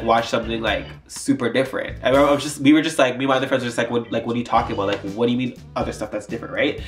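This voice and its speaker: A man's voice: medium pitch, a bit bright. Young man, stuffy nose, rambling casual vlog talk, faint guitar music behind. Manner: chatty, rambling with many fillers. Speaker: YouTuber.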